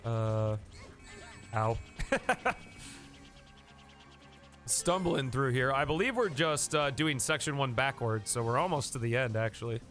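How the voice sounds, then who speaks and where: A man's voice: mid-range voice, animated, a little slow, long pauses, a bit bright, faint music behind. A man live-streaming a game, chatting casually and thanking viewers by name, a bit sniffly from a coming cold.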